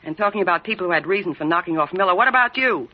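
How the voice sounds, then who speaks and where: Hard-boiled scheming dame. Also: female voice, lowish voice, animated, rapid-fire. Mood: scornful. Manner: brassy, slangy, needling. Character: hard-boiled, scheming, sarcastic racketeer woman. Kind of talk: 1940s radio crime drama acting. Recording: dull vintage radio recording.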